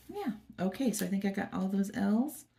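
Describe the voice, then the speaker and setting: Brief: stuffy-nosed woman craft host, gravelly low edge, chatting softly on a phone camera at work. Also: expressive, a little slow. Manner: soft, chatty, murmuring to viewers. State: stuffy nose. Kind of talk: casual chat while crafting. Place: at her workplace.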